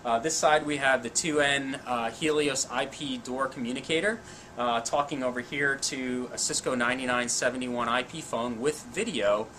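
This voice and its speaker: A man's voice: mid-range voice, moderate pace, pushed and bright. A man in his forties giving an informal, plain-spoken technical product demonstration. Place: indoor equipment lab or demo room. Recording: clear background noise in room.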